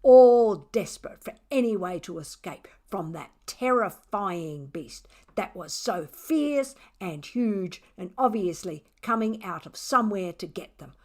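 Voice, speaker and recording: A woman voicing a mischievous, sarcastic, self-satisfied male cat who tells his story theatrically, with dramatic pauses. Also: mid-range voice, animated, a little mellow. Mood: scornful.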